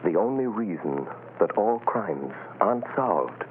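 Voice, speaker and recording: An actor playing a mysterious unseen male crime-fighter who talks slowly and ominously, in a 1930s radio drama. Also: lowish voice, big pitch swings. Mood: commanding. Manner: slow, ominous, taunting. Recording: tinny hissy 1930s radio broadcast.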